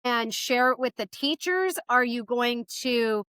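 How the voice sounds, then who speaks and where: A woman's voice: fairly high pitch, animated, measured pace, fairly bright tone, clear, resonant tone, dry recording, clean studio sound. A woman hosting a casual interview podcast in an effusive, encouraging way. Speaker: podcast host.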